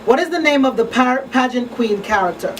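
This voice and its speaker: A woman's voice: a little high, fairly quick. A woman hosting a radio talk show, explaining things in a conversational way like a counselor. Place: small radio studio. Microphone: studio broadcast mic on boom arm. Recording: distant pickup, steady room noise.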